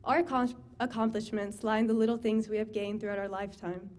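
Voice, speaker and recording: A woman's voice: mid-range voice, level tone, quick pace, a little mellow. A woman giving a formal, earnest address at a graduation ceremony. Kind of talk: graduation speech.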